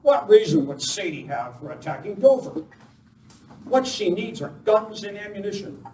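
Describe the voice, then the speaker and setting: A man acting an urgent masked lawman of the old West, declaiming insistently in a radio play. His voice is fairly high, heard from a distance in a roomy space with faint noise. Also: sing-song, normal speed, mellow. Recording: roomy far-off live stage recording with noise.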